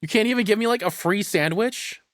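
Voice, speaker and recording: A man's voice: sits high, fairly quick, a bit bright, no room sound, studio quality. A man streaming casual reactions, speaking in a blunt, sweary and incredulous manner.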